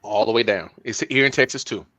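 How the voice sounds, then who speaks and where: A man's voice: fairly low pitch, brisk, bright, forceful tone, no echo. A man co-hosting a podcast in casual chat, joking and repeating words as he talks. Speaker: podcast co-host.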